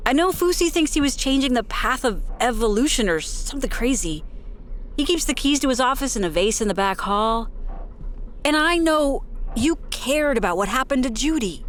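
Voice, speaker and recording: Young female amateur investigator, naturalistic audio drama. Earnest, determined, slightly high voice; faint background noise. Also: expressive, moderate pace. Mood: earnest, pressing.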